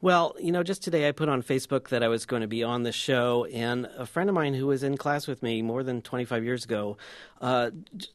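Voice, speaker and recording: Halting man, guest on radio. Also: medium pitch, no echo, studio quality. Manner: halting, many fillers and restarts. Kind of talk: remembrance in a radio interview. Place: radio station studio.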